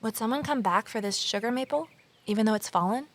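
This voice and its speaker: An actress playing an anxious, determined amateur sleuth in an audio drama, earnest and introspective. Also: female voice, a little high, fast, close to an everyday voice. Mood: concerned. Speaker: lead character and narrator. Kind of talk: naturalistic audio drama dialogue and narration.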